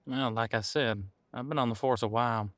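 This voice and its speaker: A man playing an easygoing veteran police officer in an audio roleplay, chatting casually and candidly with thoughtful pauses. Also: medium pitch, fairly even intonation, a bit bright, no echo, close to an everyday voice. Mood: reflective, frank. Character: easygoing veteran male supernatural police officer showing newcomer around. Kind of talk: audio roleplay acting, one-sided conversation.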